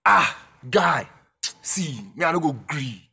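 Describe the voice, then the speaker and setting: A man's voice: on the high side, expressive, slow pace, vocal fry, sharp tone, breathy edge, heavily acted. A man playing a stubborn, teasing young street guy in an audio drama, bantering in Nigerian Pidgin. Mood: teasing, indignant.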